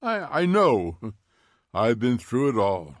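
A man voicing a smug, needling fire captain who mocks in quick theatrical bursts, in an audiobook reading. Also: mid-range voice, very animated, dry recording, clean studio sound. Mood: mocking. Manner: taunting, theatrical, fast mocking bursts.

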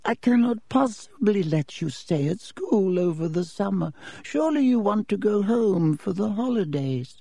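A man voicing a frail, feeble old male wizard headmaster who talks slowly and kindly in a thin, quavering voice. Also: high-pitched, animated, gravelly, mellow, dry recording, voice pushed up, full character voice. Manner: slow, fussy and kindly. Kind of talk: audiobook character voice.

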